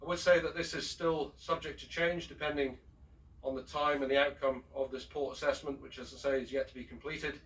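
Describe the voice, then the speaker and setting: A man speaking as a ship's captain, giving a formal, measured and courteous announcement over a public address system. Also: mid-range voice, flat delivery, fairly bright tone. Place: aboard a cruise ship, heard over the public address system. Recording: distant shipboard PA speaker, picked up from afar.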